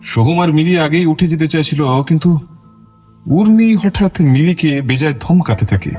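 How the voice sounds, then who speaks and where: An actor playing a proud veteran film star under suspicion, measured and self-assured but curt, in an audio drama. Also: male voice, on the high side, expressive, darkish tone, soft background music, natural voice. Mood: measured recounting. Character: proud veteran film star, a controlled, irritated murder suspect. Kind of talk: Bengali audio drama acting.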